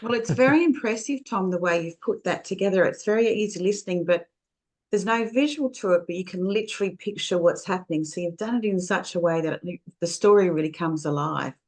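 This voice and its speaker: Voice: mid-range voice, a little fast, a little mellow. A co-host in a casual interview, giving admiring compliments.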